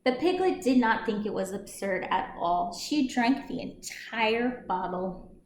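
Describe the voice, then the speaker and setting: A woman's voice: a little high, animated, a little slow. A woman library storyteller reading a picture book aloud expressively to young listeners. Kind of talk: children's storybook read-aloud. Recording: roomy echo, some background noise.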